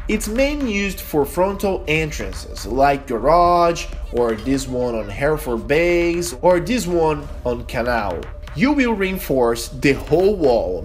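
Slow, lively Brazilian man. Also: medium pitch. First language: Portuguese. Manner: earnest, careful non-native phrasing. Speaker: channel host, game guide. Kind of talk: gameplay tutorial voice-over.

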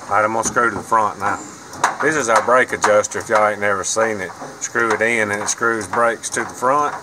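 A man's voice: lowish voice, fairly even intonation, brisk, noisy background. A man, talking casually and plainly as he drives and works on a race car. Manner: folksy, plain-spoken, rambling. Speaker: vlogger and racer. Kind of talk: casual vlog narration. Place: inside a truck cab, then a race car cockpit.

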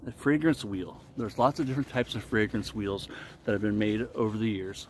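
A low-pitched man talks casually to a camera as he explains things in a vlog. His phrasing is deliberate and halting. He is recorded outdoors in a wooded clearing, with some ambient noise around him. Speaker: vlogger reviewing shaving products. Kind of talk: casual explanatory talk to camera while shaving.

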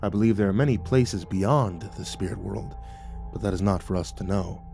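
A man voicing a patient, unconcerned shaman, a dryly teasing mystic, with calm, unhurried delivery in an audiobook. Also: sits low, gravelly, faint music behind, voice pushed down. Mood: calm, solemn. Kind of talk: audiobook character dialogue.

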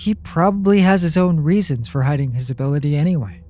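A man's voice: fairly high pitch, expressive, fast, mellow, reverberant, in a large hall, natural voice. A man voicing a wary, anxious teenage schoolboy investigator in a comic dub. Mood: uneasy, defiant. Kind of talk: dramatic comic dub acting with inner monologue.

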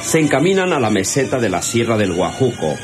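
A man's voice: medium pitch, very animated, a little fast. A man giving dramatic scripted narration as the narrator of a radio serial. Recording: old radio serial, loud music behind.

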